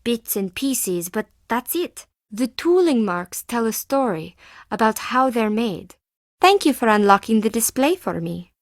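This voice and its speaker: A woman's voice: fairly high pitch, big pitch swings, unhurried, no echo, clean studio sound. An actress playing a timid, defensive woman artist and forger in a video game, with intense, theatrical delivery in clipped phrases. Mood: guarded. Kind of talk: scripted video game character dialogue.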